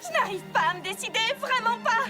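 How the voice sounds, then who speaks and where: Brief: earnest young girl pony student, very high swooping voice, expressive delivery, loud music underneath. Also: fast talker. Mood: overwhelmed, distressed. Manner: emphatic, expressive. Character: earnest young female pony student, conscientious and easily overwhelmed. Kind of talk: French cartoon dub acting. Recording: loud music behind the dialogue.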